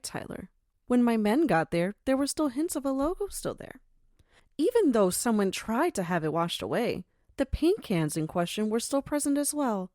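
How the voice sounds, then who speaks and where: A woman's voice: a little high, no echo, studio quality. A woman playing a courteous but commanding underworld matriarch in an audio drama, stately and formal. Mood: cool, probing.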